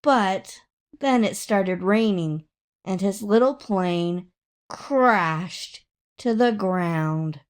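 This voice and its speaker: A woman reading a children's story aloud in an expressive, dramatic way, drawing out words for emphasis. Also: mid-range voice, clean tone. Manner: dramatic, with drawn-out emphasis and acted sound words. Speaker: storybook reader.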